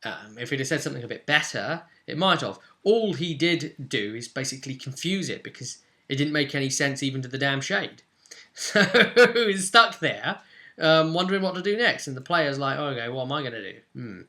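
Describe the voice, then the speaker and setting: A British man casually recounting a tabletop roleplaying session as game master, in an animated, informal way. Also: mid-range voice, moderate pace.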